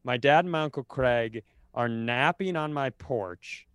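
A man's voice: medium pitch, measured pace, a bit bright, smooth, no echo. A man co-hosting a podcast, telling stories casually in a rambling, self-interrupting way with dry, self-deprecating humour. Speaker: podcast co-host. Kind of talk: casual comedic chat and storytelling.

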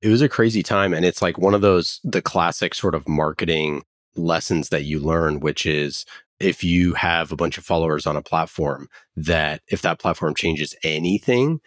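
A man's voice: low-pitched, moderate pace, fairly bright tone, no room sound, clean studio sound. An American man telling his story as an interview guest in a conversational, explanatory way. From Oakland, United States. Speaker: podcast company founder, interview guest.

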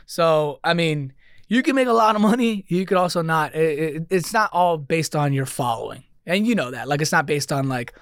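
A man talks loosely on a podcast, his speech full of filler words. His voice sits a little high for a man. The mic is close and dry, with no room sound. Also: normal speed, fairly bright tone, clean tone, dry recording. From New York. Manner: loose and rambling, full of likes and dudes. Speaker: guest, social media creator. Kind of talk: casual podcast chat.

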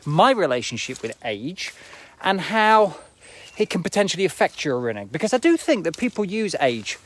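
A man in his forties giving a casual, reflective motivational talk as a running coach on a live video, often checking in with rhetorical questions. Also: on the high side. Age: 40s. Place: outdoors, walking uphill near an airport.

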